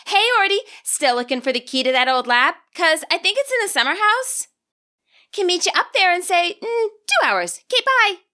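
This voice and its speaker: Brief: woman reading as a teasing teen sister, perky and sing-song, very high and bright. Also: very animated, normal speed, heavily acted. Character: teasing teenage girl, Ortega's sister.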